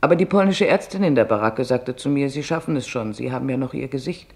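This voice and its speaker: Man voicing a weary, creaky-voiced camp survivor. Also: medium pitch, animated, fast, darkish tone, natural voice. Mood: weary, subdued. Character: weary, sorrowful female concentration camp survivor giving testimony.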